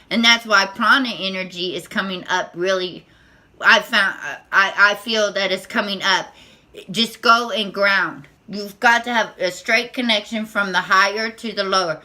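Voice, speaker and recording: A woman with a squeaky, bright, sharp-edged voice gives an unscripted spiritual talk to camera. She talks nervously and haltingly, often restarting and repeating words. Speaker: spiritual tarot vlogger.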